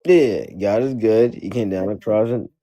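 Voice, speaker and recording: A man's voice: fairly low pitch, moderate pace, dark tone. A man hosting a casual debate chat, joking and rambling with lots of repetition.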